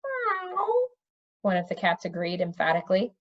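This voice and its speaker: A woman's voice: medium pitch, lively intonation, moderate pace, a little mellow, highs cut off. A woman narrating a storybook as she reads aloud. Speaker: narrator reading a book aloud.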